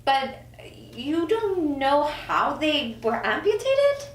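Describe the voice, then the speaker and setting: Actress as sceptical dead woman, high pitch, questioning, drama table read, echoey room. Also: big pitch swings, takes it slowly, heavily acted. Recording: echoey room, distant mic.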